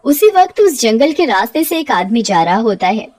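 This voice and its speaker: A woman's voice: fairly high pitch, very animated, moderate pace, a little mellow. A woman narrating a scripted cartoon story as its storyteller.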